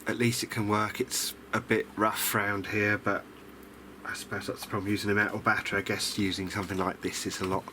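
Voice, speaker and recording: Low-voiced, hesitant man with background noise. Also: flat delivery, fairly quick. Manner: rambling, hesitant, self-deprecating. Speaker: hobbyist radio restorer presenting a video diary. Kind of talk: casual unscripted demonstration.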